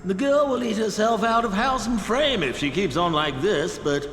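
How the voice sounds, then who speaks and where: An actor playing a pompous, grudging gentleman in a talking portrait for a video game, formal and exasperated. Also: high-pitched, lively intonation, moderate pace. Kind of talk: video game character acting. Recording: echoey hall, some noise.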